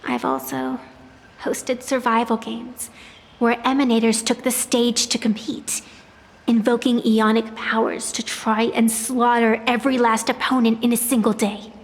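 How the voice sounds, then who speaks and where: A woman's voice: fairly high pitch, flat delivery, a little slow, creaky. A woman voicing a playful, teasing ancient tree spirit who oversees deadly games, in a game cutscene. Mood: proud. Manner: teasing, amused, mocking. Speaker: game character. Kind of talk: video game voice acting. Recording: game cutscene with reverb and music.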